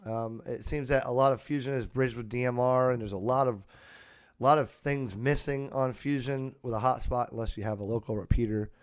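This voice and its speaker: A man's voice: mid-range voice, steady tone, brisk, no room sound. A man hosting an unscripted hobby podcast in a casual, chatty way, speaking into a close podcast microphone. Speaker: podcast host and amateur radio hobbyist. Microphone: close USB podcast microphone.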